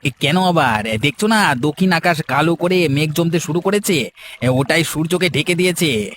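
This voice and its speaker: A man's voice: on the high side, lively intonation, moderate pace, fairly bright tone, slight background noise. A man voicing a humble, helpful village boatman in a cartoon, punctuating his talk with short interjections. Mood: warning. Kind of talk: Bengali cartoon voice acting.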